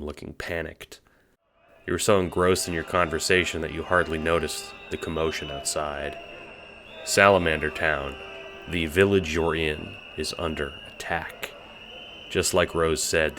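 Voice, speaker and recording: Very deep-voiced male story narrator. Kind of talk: second-person scripted audio drama narration.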